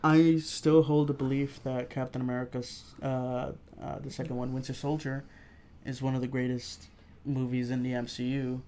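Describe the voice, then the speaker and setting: A man's voice: mid-range voice, little pitch movement, normal speed, a little mellow, smooth. A man co-hosting a film review podcast, talking casually like an enthusiastic fan. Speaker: podcast co-host reviewing films.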